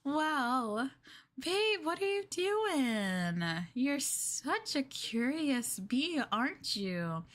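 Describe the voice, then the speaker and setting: A woman's voice: high-pitched, very animated, drawn-out words, fairly bright tone, exaggerated voice. A woman playing a clingy, sweetly possessive young girlfriend in an ASMR roleplay, with a coy, hesitant, coaxing delivery. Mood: sweetly obsessive. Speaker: streamer performing ASMR roleplay.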